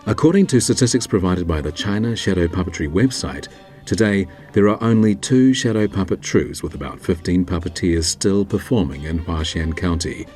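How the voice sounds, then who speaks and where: Very deep-voiced male documentary narrator over music. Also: expressive, normal speed, dark tone.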